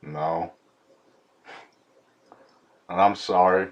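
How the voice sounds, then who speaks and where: Slow mocking low-voiced male prank caller. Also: fairly even intonation, lo-fi sound. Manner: mocking, laughing, fake apologetic. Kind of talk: prank phone call.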